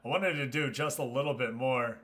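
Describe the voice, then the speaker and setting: A man's voice: medium pitch, fairly quick, a bit bright. A man hosting a livestream, giving casual game commentary and chatting informally with viewers. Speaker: livestream host.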